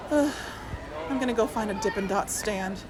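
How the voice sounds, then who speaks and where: An actress playing an exasperated, level-headed skeptical young investigator in an audio drama. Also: female voice, mid-range voice, lively intonation, measured pace, lightly acted. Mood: conceding, weary. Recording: clear noise and crowd bustle. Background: busy outdoor crowd.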